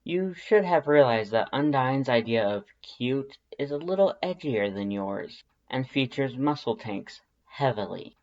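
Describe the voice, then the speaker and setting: Someone narrating a fanfic expressively, middle pitch, bit muffled lo-fi sound. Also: lively intonation, unhurried, few pauses, a little mellow. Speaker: fan fiction narrator. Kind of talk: expressive scripted story narration.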